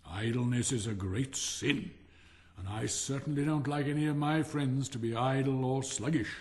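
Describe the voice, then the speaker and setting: A man's voice: medium pitch, natural voice. In a reverberant hall, a man performs a pompous storybook miller. He is hearty and bossy, a self-satisfied false friend who leans on his words and draws them out as he preaches. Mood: pompous scolding. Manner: emphatic, preachy, drawn-out delivery. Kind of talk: storybook character voice. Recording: long reverberant hall sound.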